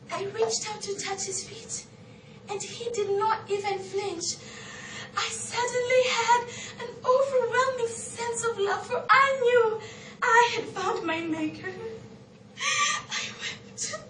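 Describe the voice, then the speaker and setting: A woman with a Kenyan accent playing a shamed but devoted biblical outcast in a theatrical, emphatic stage monologue. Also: extremely high pitch. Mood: tearful, overwhelmed. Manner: theatrical, emphatic, drawn-out declamation. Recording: echoey, noisy room, distant microphone.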